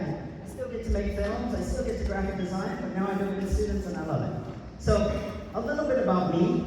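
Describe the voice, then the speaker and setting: A man's voice: high-pitched, noisy background. A middle-aged man from Los Angeles County introducing himself as a graphic design teacher, chatty and self-deprecating with light laughs. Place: school hall or large room. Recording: echoey hall, recorded from far off.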